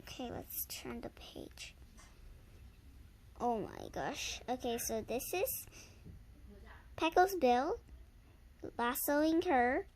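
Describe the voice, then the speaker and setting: A woman's voice: sits high, very animated, slow, long pauses, darkish tone, slight background noise. A young girl reading a tall tale aloud informally, stumbling over words, correcting herself and adding asides to viewers. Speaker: reader of a readers theater script. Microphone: handheld camera held by the reader.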